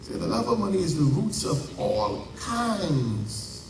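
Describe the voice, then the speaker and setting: High-voiced Bahamian pastor preaching slowly. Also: male voice, very animated, vocal fry, background noise. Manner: preaching with dramatic pauses and drawn-out emphasis. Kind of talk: sermon. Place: large church. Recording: echoey church heard from a distance.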